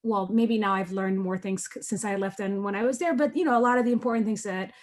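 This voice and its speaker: A woman's voice: mid-range voice, fast talker. Earnest woman panelist from Ethiopia. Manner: earnest and conversational, tags points with "right?". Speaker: researcher and panelist. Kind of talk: online panel discussion. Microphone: video call.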